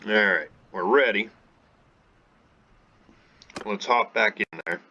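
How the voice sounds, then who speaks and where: A man's voice: on the low side, takes it slowly, vocal fry, bright, forceful tone, dull audio. A man casually commentating his gameplay as a channel host, thinking aloud with laid-back restarts and fillers. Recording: game music playing under the voice. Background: game music.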